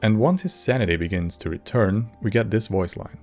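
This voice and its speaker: Male video essay narrator, low pitch, scripted but casual, faint music bed. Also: a little fast, a little mellow.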